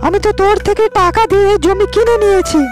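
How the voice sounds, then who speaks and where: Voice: very high-pitched, fairly even intonation, quick pace, over music. A performer voicing a clever young farmer in a cartoon, firmly arguing and repeating his points. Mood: indignant. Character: clever, firm young farmer man standing his ground. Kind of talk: Bengali cartoon character dialogue.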